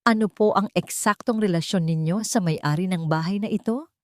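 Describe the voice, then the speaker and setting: A woman's voice: fairly low pitch, moderate pace, a little mellow, no room sound, studio quality, natural voice. A woman voicing a formal, serious social worker in her forties who questions politely in a story. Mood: probing. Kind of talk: audio drama storytelling.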